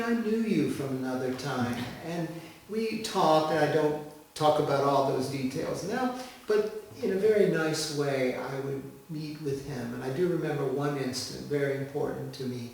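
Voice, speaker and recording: A middle-aged man, born in the States, telling a personal story as an interview guest in a gentle, unhurried way. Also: medium pitch, vocal fry, slight background noise. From United States. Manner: gentle, unhurried, pauses with ums. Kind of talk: reflective storytelling interview answer. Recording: echoey room from far off.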